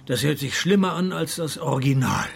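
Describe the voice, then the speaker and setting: An actor playing a sardonic, world-weary private detective in a radio play, with a gravelly voice and dry, deadpan delivery. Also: male voice, a little high, fairly quick. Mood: wry, probing. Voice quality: gravelly, creaky. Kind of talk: detective radio play acting and first-person narration.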